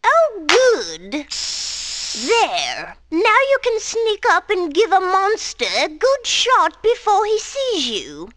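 A woman playing a quirky, chirpy, helpful cottage craftswoman in an animated game cutscene. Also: extremely high pitch, slow, no echo, full character voice. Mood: pleased.

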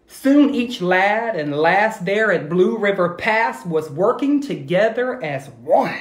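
A middle-aged man reading aloud expressively, as an educator addressing young listeners directly and encouragingly. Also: sits high. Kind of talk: expressive children's read-aloud. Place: indoor room at home. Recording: echoey room, phone video.

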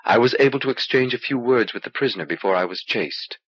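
Man reading as a wary, urgent juggler, husky. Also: animated, quick pace, fairly bright tone, no echo. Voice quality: low voice. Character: wary, urgent male juggler pledged to his lord. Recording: dull old cassette audiobook transfer.

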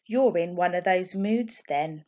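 A woman's voice: lowish voice, measured pace, warm tone, close to an everyday voice. A woman voicing a patient but firm mother scolding her daughter in a storybook. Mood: weary, chiding. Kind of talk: storybook character voice. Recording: dull, lo-fi home recording.

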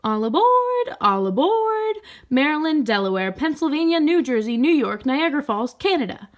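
A woman voicing a tiny, commanding woman train conductor in a storybook, loudly calling out announcements. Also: high voice, big pitch swings, moderate pace, voice pushed up.

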